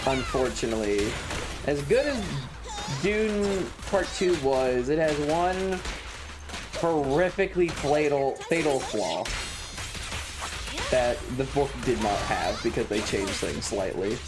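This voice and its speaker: An animated streamer talks casually through a game in a sarcastic, sweary way. The pitch swings around a lot, and faint music plays behind the voice. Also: slow. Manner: sarcastic, profane.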